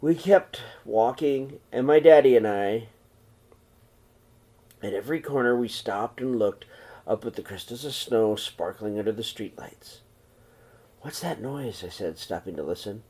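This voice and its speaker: A man reading a children's picture book aloud like a teacher, with expressive, dramatized storytelling delivery. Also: fairly low pitch, normal speed. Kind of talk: read-aloud storybook narration.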